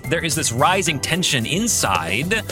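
A man's voice: fairly high pitch, sing-song, unhurried, music in the background. A man hosting a podcast, narrating in an earnest, emphatic way as an author introducing his work.

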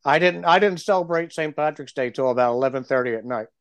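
A man's voice: a little high, fast talker, no echo. A male podcast host with a stuffy, froggy voice from cold medicine, asking questions in an easygoing, conversational way. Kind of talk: casual interview chat.

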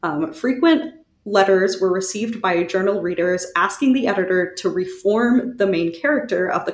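A woman co-hosting a podcast, presenting researched history in a conversational, wry and animated way with giggly asides. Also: medium pitch, roomy sound. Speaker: podcast co-host.

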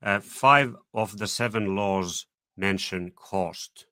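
Guy with a bright, sharp voice tossing in short side comments in a casual chat. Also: lowish voice, slow. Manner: brief interjections and side comments. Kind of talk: casual discussion.

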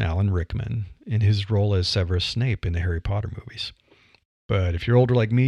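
A man's voice: on the low side, gravelly, darkish tone, no room sound, highs cut off. A middle-aged man with a Midwestern accent, a podcaster talking casually about voice delivery with frequent "you know" fillers. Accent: Midwestern American. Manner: conversational, many "you know" fillers, light laughs. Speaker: podcaster and writer. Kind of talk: reflective monologue on voice delivery.

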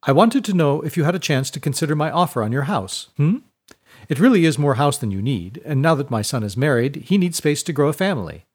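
A man's voice: fairly high pitch, very animated, fairly quick, slightly rough. A man voicing a pompous, oily village council head in an audiobook, fawning and wheedling with little questioning hums. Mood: smug wheedling. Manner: fawning, purring, punctuated with questioning "hmm?".

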